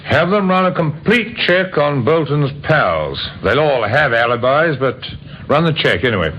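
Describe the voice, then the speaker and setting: An actor playing a methodical, dryly wry police inspector in an old radio crime drama, hesitant and musing with hums and pauses. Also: male voice, a little high, very animated, fairly bright tone, husky, exaggerated voice. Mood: brisk, pensive. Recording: dull, noisy old radio recording.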